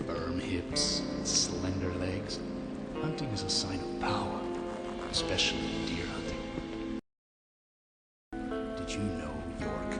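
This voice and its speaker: A man playing a deranged, power-obsessed video game villain who thinks himself divine, ranting grandly with drawn-out menace. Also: low voice. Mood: sinister musing. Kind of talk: dramatic video game cutscene acting. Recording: echoey hall reverb under music.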